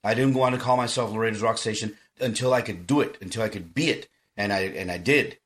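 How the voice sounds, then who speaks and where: A man's voice: lowish voice, fast, a bit bright. A man from South Texas hosting a podcast with casual chat and music news, speaking in an earnest, informal and apologetic way. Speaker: podcast host.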